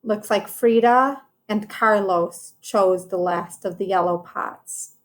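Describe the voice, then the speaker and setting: A woman's voice: mid-range voice, takes it slowly. A woman teacher reading a children's storybook aloud, explaining it directly to young listeners.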